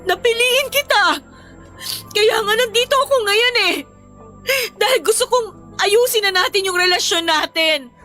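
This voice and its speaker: High-pitched, tearful betrayed Filipina fiancée. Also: female voice, normal speed, pushed and bright, faint music behind. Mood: tearful pleading. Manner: melodramatic, emotional, pleading and accusing. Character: betrayed, tearful young fiancée, hot-tempered and wounded. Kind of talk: Filipino radio drama acting.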